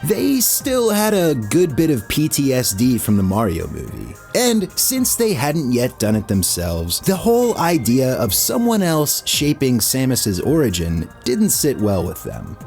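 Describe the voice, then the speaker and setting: A man's voice: mid-range voice, huge pitch swings, unhurried, creaky, hardly any pauses, darkish tone. A man narrating a scripted video essay in a wry, casual way, as the host of a show. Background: music.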